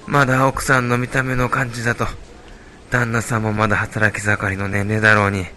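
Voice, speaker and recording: A man playing a kindly, concerned retired stroke patient in an amateur radio drama, with gentle turns of phrase. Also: on the low side, completely flat delivery, brisk, a little hiss or hum.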